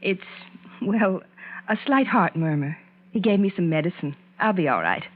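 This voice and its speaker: An actress playing a gentle, hesitant young secretary companion in a 1940s radio drama. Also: female voice, fairly low pitch, lively intonation, normal speed. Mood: meek, hesitant. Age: young adult. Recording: tinny, noisy vintage 1940s radio recording.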